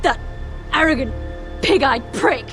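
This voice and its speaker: A woman's voice: high-pitched, unhurried, fairly bright tone, soft background music. An actress playing a proud young noblewoman in a fantasy video game, speaking with defiant, pleading intensity. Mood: angry, defiant. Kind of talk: video game dramatic acting.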